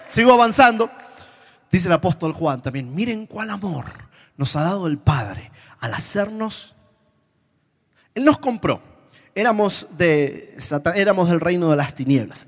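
Animated Argentine preacher, high voice. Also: male voice. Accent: Argentine Spanish. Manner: humorous, animated storytelling, shifts to whispers. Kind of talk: sermon with personal stories. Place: church before a live congregation.

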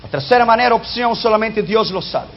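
Male preacher, non-native speaker, high voice, emphatic delivery; lo-fi, muffled recording. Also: expressive, a little fast. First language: not Spanish. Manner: emphatic, drops low and soft on key lines. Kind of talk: sermon on prophecy. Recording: dull lo-fi recording.

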